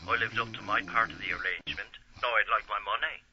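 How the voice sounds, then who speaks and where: Sharp, surly crook on telephone. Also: male voice, lowish voice, fairly even intonation, fast. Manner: curt, blunt. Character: surly, impatient male criminal accomplice demanding payment. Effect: telephone filter. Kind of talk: audio drama acting, period mystery. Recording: muffled, harsh telephone line.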